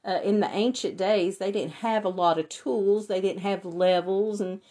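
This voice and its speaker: A woman with a hoarse, raspy voice from laryngitis, teaching a Bible lesson and reading scripture in a deliberate way. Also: medium pitch, steady tone, dark tone.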